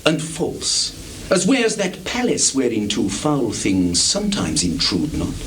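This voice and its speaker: Guy acting a sly fake-humble shakespeare villain, slow n insinuating, pitch goes all over, hissy old stage tape. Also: huge pitch swings. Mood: feigned reluctance. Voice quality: theatrical, measured projection. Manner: insinuating, deliberate, dramatic pauses. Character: scheming, falsely humble soldier feigning honest reluctance. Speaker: stage actor. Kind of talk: live theatrical Shakespeare dramatic reading. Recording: noisy, hissy 1980s live theatre recording.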